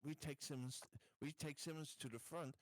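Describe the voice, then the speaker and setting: A man playing a tabletop role-playing game, chatting casually with a halting, stammering delivery. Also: on the high side, fairly even intonation, no room sound.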